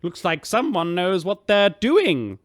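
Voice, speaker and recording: An actor playing a smug, insecure spaceship captain, boasting in an awkward, halting manner. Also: male voice, high voice, huge pitch swings, no room sound, heavily acted. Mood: smug, pleased.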